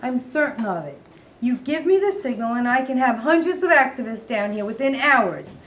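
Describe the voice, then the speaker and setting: A woman reading the part of a forceful, rallying male activist. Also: on the high side, lively intonation, moderate pace. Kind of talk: staged reading. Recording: echoey room, noisy.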